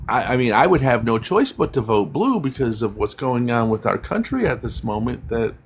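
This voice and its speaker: A man's voice: medium pitch, big pitch swings, normal speed, a little hiss or hum. A man hosting a casual online political discussion, speaking in a loose, hesitant way with frequent restarts.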